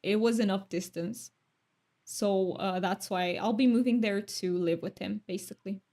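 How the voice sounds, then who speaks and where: A woman's voice: medium pitch, normal speed, mellow. Chatty woman streamer. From Romania. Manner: chatty, thoughtful, laughs often. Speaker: streamer reading chat. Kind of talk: casual chat.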